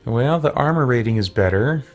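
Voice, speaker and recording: A man talking casually on a livestream as he plays a game, halting and thinking aloud. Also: medium pitch, animated. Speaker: livestream game player and host. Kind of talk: casual livestream chat while playing. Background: game music.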